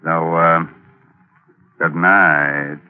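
An actor playing a sardonic, mocking host of an old radio mystery show. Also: male voice, low-pitched, fairly even intonation. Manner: mocking, drawn-out delivery. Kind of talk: 1940s radio acting. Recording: old tinny radio broadcast.

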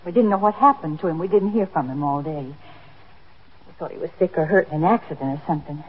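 Husky, anxious woman. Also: deep voice, a little fast. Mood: anxious, defensive. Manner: worried, insistent. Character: anxious, defensive daughter of an old man. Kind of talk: 1950s radio drama acting. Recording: dull 1950s radio recording.

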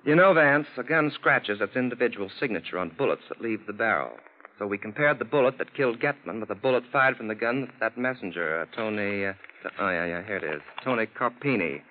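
A man playing a brisk, authoritative legal official with a matter-of-fact manner in a 1940s radio drama. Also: fairly low pitch, lively intonation, a little hiss or hum, close to an everyday voice. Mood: businesslike. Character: brisk, businesslike male legal official, mildly exasperated. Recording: old lo-fi radio drama recording.

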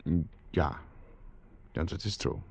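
An actor playing a sly colonial government official in an old radio drama, speaking in slow, formal, foreign-sounding phrases. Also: male voice, low-pitched, darkish tone, husky, exaggerated voice. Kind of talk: 1950s radio drama acting. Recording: old radio recording with faint hiss.

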